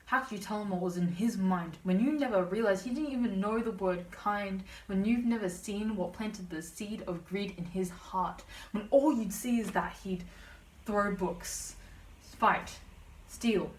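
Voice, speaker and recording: A woman's voice: mid-range voice, measured pace, a little hiss or hum. A young woman student performing slam poetry with a dramatic, emphatic, rhythmic delivery. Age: young adult. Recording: distant, echoey room pickup.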